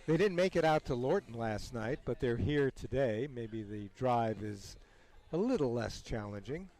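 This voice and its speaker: A man's voice: medium pitch, expressive, moderate pace, darkish tone. A man giving chatty, easygoing color commentary on a baseball broadcast. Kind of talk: conversational sports commentary.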